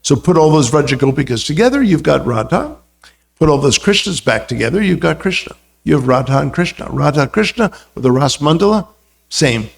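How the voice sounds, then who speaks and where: American male spiritual teacher, higher-pitched with heavy creak, informal anecdotal lecturing. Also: very animated, brisk. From United States. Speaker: guest speaker, religious teacher and university lecturer.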